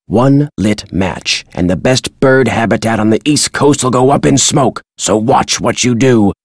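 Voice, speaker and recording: An older American man voicing a crotchety retired birdwatcher in a video game, folksy and gruff with a husky, dry delivery. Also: mid-range voice, unhurried, no echo. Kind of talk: video game character dialogue.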